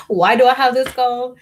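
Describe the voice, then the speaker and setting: A woman's voice: a little high, slight background noise. A young woman chatting casually to viewers on a live stream, rambling with lots of fillers and restarts. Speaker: hair vlogger hosting a live Q&A.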